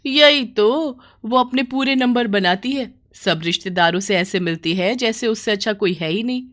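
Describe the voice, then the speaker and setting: A woman voicing a proud, envious young village wife in a bedtime story, sharp, complaining and insistent. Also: on the high side, moderate pace, voice pushed up, natural voice. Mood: spiteful gossiping.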